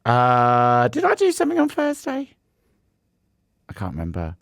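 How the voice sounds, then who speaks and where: A man, a drag queen co-hosting a podcast, with a croaky, hoarse voice, chatting in giggly, playful banter. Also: mid-range voice, huge pitch swings, moderate pace, fairly bright tone, no echo, studio quality. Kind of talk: casual banter.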